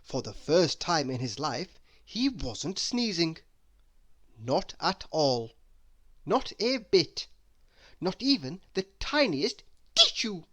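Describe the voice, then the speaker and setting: Animated children's storyteller, very dry sound. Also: mid-range voice, slow pace, pauses often, no echo. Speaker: storyteller reading a children's book aloud. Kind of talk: expressive read-aloud narration.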